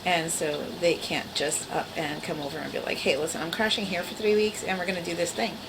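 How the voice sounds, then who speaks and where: A woman's voice: lowish voice, steady tone, a little fast, background noise. A woman in her forties with a small, girlish voice, chatting casually and wryly to her camera at home. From the Bronx, New York. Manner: rambling, self-deprecating, wry. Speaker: vlogger. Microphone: camera mic.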